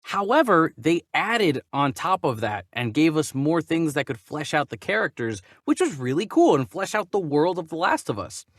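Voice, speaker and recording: A man co-hosting a casual movie news chat, animated and given to exaggerated questioning. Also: fairly high pitch, moderate pace, hardly any pauses, no echo, studio quality. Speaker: movie news podcast co-host.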